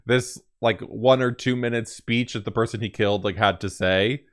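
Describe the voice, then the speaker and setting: A man's voice: on the low side, fairly even intonation, moderate pace. A man hosting a gaming reaction video, rambling casually and thinking aloud.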